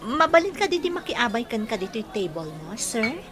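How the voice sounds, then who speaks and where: Woman playing a kind nurse, fairly high voice. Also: big pitch swings, a little fast, over music. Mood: kind, welcoming. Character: kind, welcoming young woman working as a nurse. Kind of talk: Ilocano audio drama acting.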